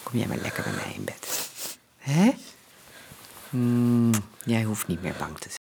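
Caring mum in a radio play, really low voice, big swings, slow, bit of noise. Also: female voice, wildly swooping pitch, gravelly, darkish tone, heavily acted. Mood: soothing, hushed. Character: soothing mother figure comforting a frightened child at night. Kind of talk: radio drama acting.

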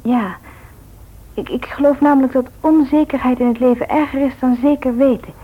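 An actress playing a caring, frank young medical student in a radio drama, earnest and slightly hesitant. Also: female voice, high-pitched, fairly even intonation, moderate pace. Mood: earnest, sympathetic. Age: young adult. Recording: thin, phone-like old radio recording.

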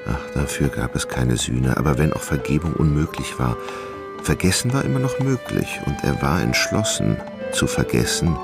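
Very deep, gravelly male narrator. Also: lively intonation. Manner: measured, dramatic storytelling. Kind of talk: scripted literary radio drama narration. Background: plucked guitar music.

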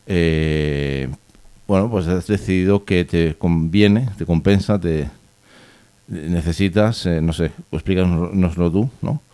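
Deep mellow-voiced radio host. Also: male voice, no room sound. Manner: halting, many restarts and fillers. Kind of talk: radio interview. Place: radio studio.

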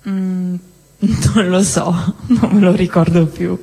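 A woman acting a wry, teasing, restless sweetheart in halting theatrical dialogue, repeating herself as she goes. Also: fairly low pitch, fairly even intonation, mellow, breathy edge, heavily acted. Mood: wry, hesitant. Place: theatre hall, live show. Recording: echoey hall, live stage recording.

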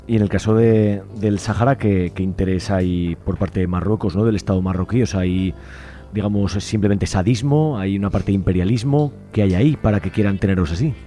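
Fast-talking Spanish radio interviewer, male. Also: fairly low pitch, mellow, faint music behind. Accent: Spain. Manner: long questions peppered with tag questions. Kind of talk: conversational political interview. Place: radio studio.

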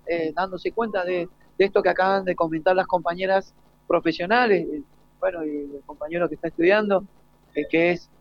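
An Argentine man hosting an informal live panel interview, haltingly searching for his words. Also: high-pitched. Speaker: host and interviewer. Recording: dull lo-fi live broadcast.

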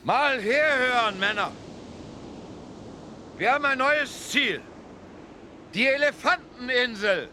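A commanding expedition leader calls to his men in an audio drama. His raised voice is very high and extremely bright, with slow, deliberate authority. A faint rumble runs behind it. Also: lively intonation, heavily acted. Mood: resolute, commanding.

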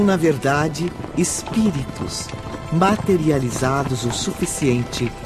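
A man narrating a scripted drama as storyteller, with emphatic, theatrical pauses. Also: medium pitch.

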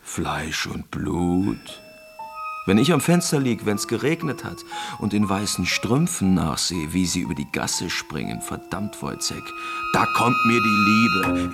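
A pompous, melancholic army captain in a radio play, with a gravelly edge and a voice that swings widely. He moralizes slowly and anxiously, condescending as he repeats his words, over faint noise. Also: low-pitched, heavily acted. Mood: self-righteous, wistful. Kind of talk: theatrical German radio drama acting.

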